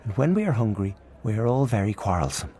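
A man voicing a gentle young woman who smooths over quarrels in a soothing way. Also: lowish voice, big pitch swings, fast. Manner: soothing, pacifying.

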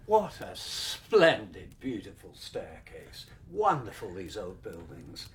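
A man's voice: lowish voice, wildly swooping pitch, slow, a bit bright, exaggerated voice. A man in his sixties playing a polite, nervous visitor who rambles apologetically, in a radio drama. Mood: apologetic. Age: 60s. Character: polite elderly stranger, nervous, old-fashioned. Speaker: unexpected visitor.

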